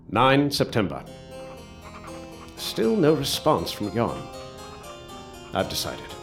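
A man's voice: fairly low pitch, a bit bright. An actor playing a polite young war veteran who narrates his journal and stammers hesitantly, in a radio drama. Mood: narrating. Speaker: narrator and lead character.

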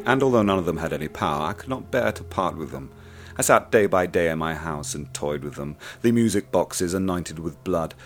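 An actor narrating as a ruined, obsessive man, telling his tale slowly and grimly in first person. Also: low-pitched. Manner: slow, grim first-person storytelling. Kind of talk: radio play narration. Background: music.

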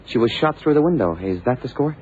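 Casting: male actor for eager, agreeable detective's junior, mid-pitched with swooping delivery, old radio play sound. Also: lively intonation, fast talker, a little mellow, lightly acted. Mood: earnest. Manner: earnest, agreeable, hesitant. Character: eager male police sidekick, deferential, slower to catch on. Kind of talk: 1960s-70s radio drama acting. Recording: muffled, noisy old radio recording.

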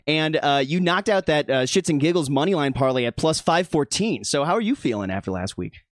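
Male podcast host, casual and slangy, animated delivery, dry close sound. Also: on the high side, brisk, dry recording. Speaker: host of a sports betting show. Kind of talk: casual panel chat, introducing co-hosts.

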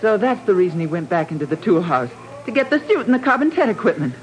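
A woman's voice: on the low side, very animated, fast. An actress playing a blunt, cool-headed woman in a 1950s radio spy adventure, with a hard-edged, matter-of-fact delivery. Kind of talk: 1950s radio drama acting. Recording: noisy vintage radio recording.

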